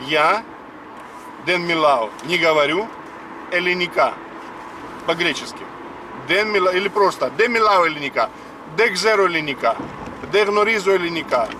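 50s guy explaining words while he drives, slightly high voice, dashcam mic far off, loud road noise. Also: lively intonation, moderate pace, pauses often. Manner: chatty, explains word by word. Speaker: language lesson host. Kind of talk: casual spoken lesson while driving. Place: car on the road. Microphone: dashboard camera.

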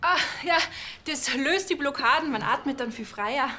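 An obliging club chairwoman in a TV crime comedy. Her voice is fairly high, eager and a little flustered, with faint noise behind. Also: lively intonation, moderate pace, pushed and bright. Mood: flustered, helpful.

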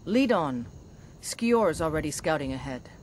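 A woman's voice: low voice, big pitch swings, unhurried, background noise. A woman playing a fierce, proud huntress warrior in a video game, blunt and confident. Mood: confident, grim relish. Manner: blunt, confident, scornful of cowards. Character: fierce, proud huntress warrior, werewolf loyal to her pack. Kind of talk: video game character dialogue.

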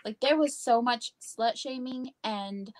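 Higher-pitched, informal young woman. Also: darkish tone. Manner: informal, halting, frequent "like". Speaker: guest co-discussant. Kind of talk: casual book discussion on a live video call. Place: small indoor room with bookshelves.

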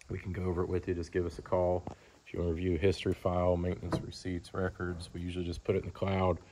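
Deep, gravelly man giving a casual walkaround. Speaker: car dealer presenting a vehicle. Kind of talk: casual off-the-cuff sales walkaround. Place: large indoor car showroom. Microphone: handheld camera, speaker behind it.

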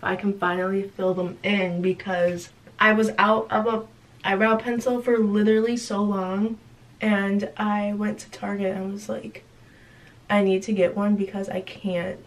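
A woman's voice: mid-range voice, rough recording. A young woman, a college student, chatting casually to her phone camera as she vlogs her day at home and at work. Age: young adult. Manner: chatty, relaxed, trails off softly at times. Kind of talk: casual day-in-the-life vlog. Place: small apartment and office, indoors. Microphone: phone camera held close.

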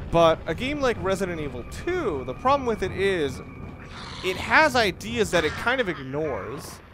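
Higher-pitched man rambling over video gameplay. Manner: rambling, halting, repeats and rephrases himself. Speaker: gaming video host, player. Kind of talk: casual let's-play commentary. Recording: clear background noise under voice.

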